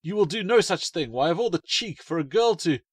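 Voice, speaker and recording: Man voicing a young boy, cheeky, whiny, high-pitched, storybook. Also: animated, fairly quick, husky, no room sound, clean studio sound. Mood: indignant.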